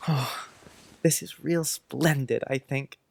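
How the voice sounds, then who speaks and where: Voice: mid-range voice, slow pace, vocal fry. An actor playing an earnest, precocious young schoolboy who chats dreamily in quaint grown-up phrases, in a dramatized audiobook. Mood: fond, chatty. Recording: music under the voice.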